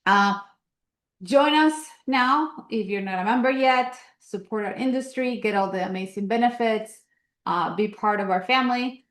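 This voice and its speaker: A woman's voice: a little high, moderate pace, reverberant. A woman with a Colombian accent hosting announcements at an online meeting, talking casually with many fillers and an upbeat promoting tone. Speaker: investor association host.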